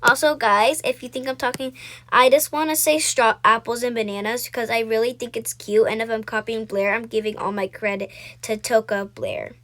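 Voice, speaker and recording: A woman's voice: fairly high pitch, steady tone, normal speed, a bit bright. A woman talking casually and slangily, as creator of an amateur voiced roleplay story video. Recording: noisy home recording with clicks.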